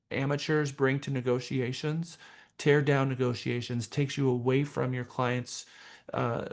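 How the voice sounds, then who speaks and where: A man teaching a professional class as a trainer, speaking in a deliberate, emphatic way with rhetorical questions. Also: medium pitch, creaky, a little mellow. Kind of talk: motivational training seminar talk.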